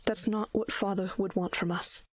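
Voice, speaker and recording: A woman playing a caring, protective princess and older sister, with a measured, formal, soothing manner in an audio drama. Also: medium pitch, steady tone, natural voice. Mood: consoling. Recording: music bed behind the voice.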